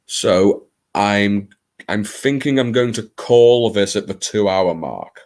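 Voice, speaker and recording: Low-voiced, theatrical man livestreaming. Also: expressive, takes it slowly, a little mellow. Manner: theatrical, playful, swearing, jokey asides and accent bits. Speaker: livestream host. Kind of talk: casual live chat with viewers. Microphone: streaming microphone, not his usual video mic.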